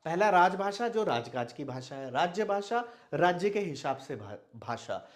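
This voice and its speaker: Young man, from Bihar, Maithili first language, Hindi teacher, video lesson, slightly high voice, asks and answers himself. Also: sing-song, moderate pace. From Madhubani, Bihar, India. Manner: asks rhetorical questions and answers them himself.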